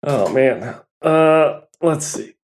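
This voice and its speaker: A man's voice: a little high, expressive, extremely slow. A young man from Southern California, a guest musician telling his story in a rambling, hesitant way. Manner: rambling, hesitant, with many fillers and laughs. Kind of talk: casual interview.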